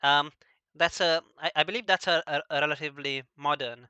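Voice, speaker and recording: A man with a mid-pitched, bright voice hosts a casual interview. He is crisp and dry on the recording, yet halting and unsure, often stammering into his sentences. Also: steady tone, no room sound, highs cut off. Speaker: interviewer, host.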